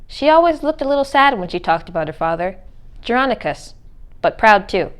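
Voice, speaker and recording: A woman's voice: medium pitch, very animated, fairly quick, smooth. A woman reading a children's storybook aloud as narrator. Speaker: read-aloud reader.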